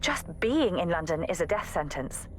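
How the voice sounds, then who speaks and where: Exasperated young woman assassin, very expressive. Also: on the low side, moderate pace, a little hiss or hum. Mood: weary, pleading. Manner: pleading, scolding, emphatic. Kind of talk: dramatic video game audio log.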